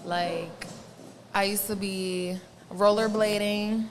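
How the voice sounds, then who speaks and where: A woman's voice: mid-range voice, fairly even intonation, slow pace, in a large hall, slight background noise. A young woman guest on a casual podcast, answering in short, dry, matter-of-fact replies. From Colombia, raised in Spain and New York. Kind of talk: casual interview chat.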